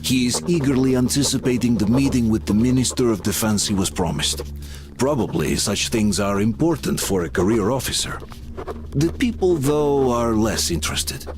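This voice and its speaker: A man's voice: medium pitch, animated, moderate pace, natural voice. An actor voicing a reflective, sober male survivor narrating his journey in a scripted game style. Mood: somber, reflective. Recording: music under the voice.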